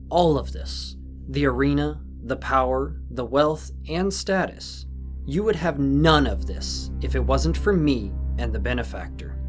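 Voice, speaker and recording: A man's voice: mid-range voice, close to an everyday voice. A man playing a stealthy, condescending servant of a magical master in an audio drama, controlled and sinister. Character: stealthy, condescending male enforcer of a magical benefactor.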